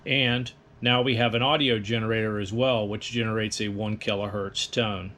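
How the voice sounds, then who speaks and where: A man's voice: fairly low pitch, fairly even intonation, moderate pace, very noisy. A man presenting an explanatory equipment demonstration in a methodical, instructional manner. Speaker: presenter of radio equipment tutorial.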